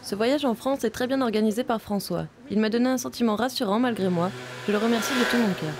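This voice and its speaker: A young woman from Vietnam, a native Vietnamese speaker, calmly narrating a personal video diary as a visiting film student. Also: fairly high pitch, fast talker, noisy background. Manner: calm, reflective, first-person storytelling.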